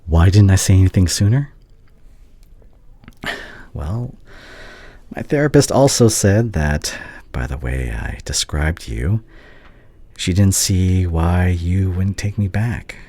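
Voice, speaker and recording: Man acting a deep, breathy, heartbroken boyfriend. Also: lively intonation, no room sound, exaggerated voice. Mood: hesitant, tender. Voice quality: breathy, hushed, often dropping into whispers. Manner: halting, emotional, confessional. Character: heartbroken, devoted young doctor ex-boyfriend, tender and remorseful. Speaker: audio roleplay performer. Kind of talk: intimate ASMR boyfriend audio roleplay.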